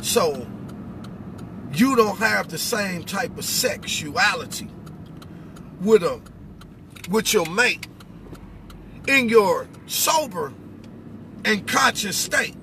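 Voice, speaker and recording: A man's voice: high-pitched, animated, takes it slowly, gravelly, pauses often, pushed and bright. A middle-aged American man giving an informal spiritual talk in an emphatic, instructive way, often checking in with questions. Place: inside a vehicle cab. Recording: very noisy vehicle, road noise. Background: car and outdoor noise.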